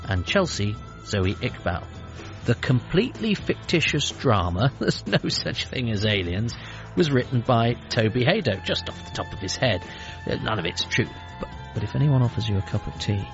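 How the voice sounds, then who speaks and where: Deadpan man reading credits over music. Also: fairly low pitch, normal speed. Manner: deadpan, wry. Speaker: actor reading the closing credits. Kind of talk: comic scripted credits announcement. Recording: muffled broadcast with music behind.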